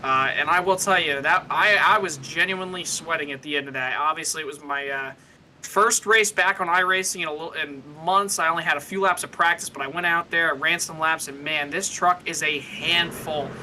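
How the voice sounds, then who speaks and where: A man's voice: a little high, brisk, sharp tone, slight background noise, poor audio. A man giving live co-commentary and analysis on a sim race in a casual, conversational way.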